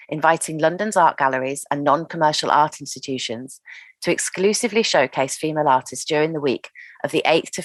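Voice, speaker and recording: A woman hosting a podcast interview, sounding stuffy from a cold, gentle and hesitant with fillers. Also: low-pitched, no echo. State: full of cold. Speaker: podcast host.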